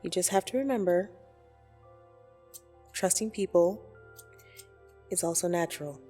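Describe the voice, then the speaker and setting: Slow, low-pitched dream demoness, acted by a woman. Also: lightly acted. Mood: soothing, concerned. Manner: slow, deliberate, intimate, gently condescending. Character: female dream demon, blunt, teasing, soothing caretaker. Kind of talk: ASMR roleplay audio drama. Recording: soft music behind the voice. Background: soft meditation music.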